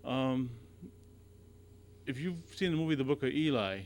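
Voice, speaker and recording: A man of middle pitch speaks as a guest on a radio talk show. He is earnest and a little halting, repeats his words as he searches for them, and breaks into an easy laugh. A faint hiss sits under his voice. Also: a little mellow. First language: not Arabic. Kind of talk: casual radio conversation.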